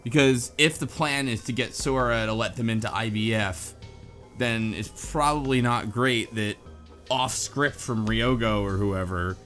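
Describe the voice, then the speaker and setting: Medium-pitched man chatting casually. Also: unhurried, a bit bright, faint music behind. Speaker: podcast panelist discussing a visual novel. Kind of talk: casual chat.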